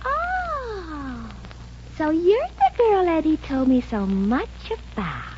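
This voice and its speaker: A coy, sugary young woman librarian from an old radio comedy. She is high-pitched and swoops with catty politeness. The broadcast recording sounds muffled. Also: wildly swooping pitch, slow pace, background noise, exaggerated voice. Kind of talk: 1950s radio comedy acting.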